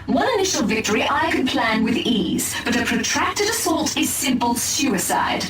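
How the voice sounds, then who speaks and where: Imperious elf warrior, disdainful, higher pitch, echoing, formal diction, video game cutscene. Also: female voice, very animated, normal speed. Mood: scornful. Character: haughty, disdainful female elf warrior, mistress of strategy. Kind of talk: scripted video game acting. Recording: echoey, distant, music behind.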